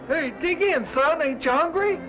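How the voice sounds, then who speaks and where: A man's voice: extremely high pitch, normal speed. An actor playing an uncouth, hearty mountain man with a rural American frontier accent in a western drama. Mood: hearty, folksy. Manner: rustic, hearty, blunt. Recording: dull old soundtrack, music behind.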